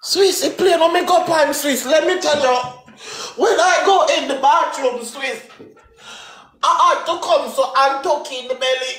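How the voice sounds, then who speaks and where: A young man with a Jamaican accent chatting and telling stories in an excitable, exclamatory way on a livestream. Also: very high voice, unhurried. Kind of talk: casual comic storytelling on a livestream. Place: indoors in a small bare-walled room. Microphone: phone close in front, split-screen live call. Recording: echoey bare room.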